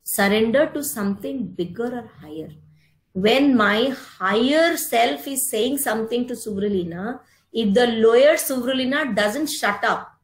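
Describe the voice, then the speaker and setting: Female lecturer, Indian accent, middle-aged. Slightly high pitch, didactic phrasing, strong room echo. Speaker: speaker giving a yoga philosophy talk. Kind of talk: lecture with explanations of terms. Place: indoor room, online meeting. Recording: roomy, echoing virtual meeting audio.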